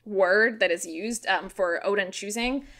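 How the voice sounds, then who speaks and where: A woman with an American accent and a very bright, forward voice. She talks informally, like a podcast guest telling stories among friends, with loose phrasing and plain everyday words. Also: mid-range voice, a little slow. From rural Missouri, United States. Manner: informal, animated, frequent "like", sweary. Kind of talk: casual conversational storytelling and explaining.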